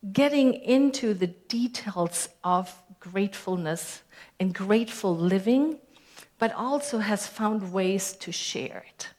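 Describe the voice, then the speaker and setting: Slow-speaking woman minister with a German accent. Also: mid-range voice, a little mellow. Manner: conversational, asks the congregation questions. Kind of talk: sermon. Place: church sanctuary.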